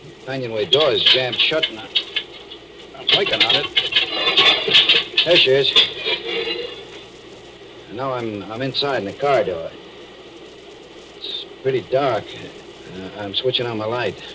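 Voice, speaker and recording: An actor playing a haunted, nervous deep-sea diver who narrates his ordeal haltingly in 1940s radio drama style. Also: very noisy, natural voice. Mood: uneasy, halting. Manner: halting, hesitant, brooding. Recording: old 1940s radio recording with music.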